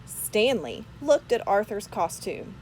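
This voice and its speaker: A woman narrates a children's storybook at a slow pace. Her pitch moves expressively from phrase to phrase, and there is noise behind her voice. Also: sing-song, darkish tone. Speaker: read-aloud narrator.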